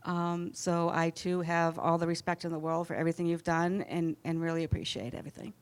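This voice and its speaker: A woman's voice: lowish voice, flat delivery, a little fast, clear, resonant tone. A middle-aged woman introducing herself on a conference panel, heartfelt, with frequent fillers. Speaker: corporate panelist. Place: conference panel in front of an audience.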